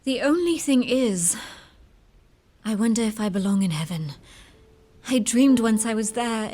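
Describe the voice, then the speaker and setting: A young woman playing a passionate, torn young lady of the moors in a melodramatic radio drama. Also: high-pitched.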